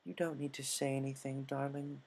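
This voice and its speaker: A man's voice: medium pitch, flat delivery, normal speed, creaky, darkish tone. A man voicing a refined, doting female pony in a story reading. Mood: tender, pleased.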